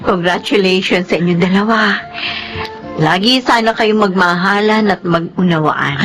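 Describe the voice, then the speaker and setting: A woman's voice: medium pitch, moderate pace, a bit bright, faint music behind, natural voice. A woman playing a loving, hardworking Filipino mother, speaking tenderly and sentimentally in a radio drama. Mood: happy, tender. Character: Gemma, loving hardworking mother and new grandmother.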